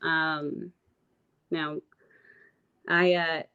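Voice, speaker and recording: A woman singer-songwriter with a raspy voice, talking reflectively about her music in a casual interview, full of fillers and restarts. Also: deep voice, level tone, drawn-out words, pushed and bright. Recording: dull lo-fi audio.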